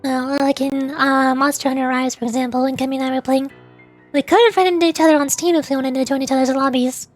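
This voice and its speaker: A young woman talks casually to her stream in a notably high voice, wandering between thoughts and laughing as she goes. She sounds close to a desk mic. Quiet game music plays behind her. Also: little pitch movement, quick pace. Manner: rambling and chatty, with frequent laughs and restarts.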